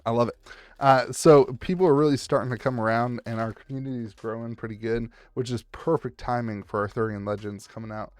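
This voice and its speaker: A hoarse young man who is losing his voice, hosting a casual, chatty card pack opening and talking straight to the viewer. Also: mid-range voice, fairly even intonation, brisk, no room sound. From United States. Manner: chatty, calls out foils and talks to the viewer. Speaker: channel host opening card packs.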